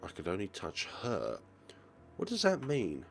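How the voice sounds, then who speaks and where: Low-voiced man with swooping, theatrical delivery. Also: wildly swooping pitch, unhurried, vocal fry, a little hiss or hum. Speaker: let's player reading a visual novel aloud. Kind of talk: game commentary with dramatic read-aloud narration.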